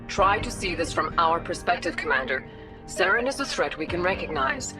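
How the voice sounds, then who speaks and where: An actress playing a diplomatic, authoritative female alien councilor in a video game, measured and formal, with music behind her. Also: lowish voice, sing-song, low-quality recording. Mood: calm, diplomatic.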